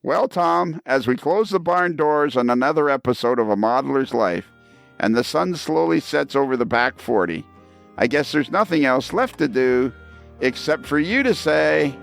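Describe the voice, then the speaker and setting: A man's voice: mid-range voice, animated, normal speed, creaky, fairly bright tone, soft background music. A man hosting a podcast in casual chat, with a dry, joking manner. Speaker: podcast host.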